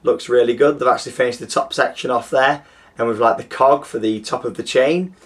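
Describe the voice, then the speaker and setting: A young man hosting a theme park news show, his voice groggy and hoarse, chatting enthusiastically with plenty of fillers. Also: medium pitch, steady tone, a little fast. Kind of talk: casual scripted news presenting.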